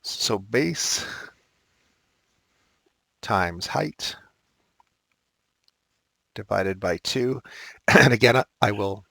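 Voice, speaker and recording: Man, math teacher, slightly raspy, low pitch, casual self-correcting, dry recording. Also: very slow, pauses often, sharp tone, no room sound. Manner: casual, thinks aloud, corrects himself. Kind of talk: recorded math lesson walkthrough.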